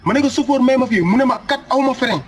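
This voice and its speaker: Man voicing a fast, high-pitched complaining passenger. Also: animated, over music. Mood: aggrieved. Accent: Senegalese. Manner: complaining, insistent. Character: aggrieved, protesting male bus passenger. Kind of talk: comic cartoon dubbing in Senegal.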